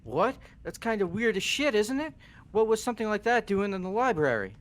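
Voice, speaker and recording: A man's voice: high voice, sing-song, brisk. A man voicing a hot-headed, exasperated young delinquent gang leader in an amateur game dub. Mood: baffled, brash. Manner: brash, exasperated, swearing.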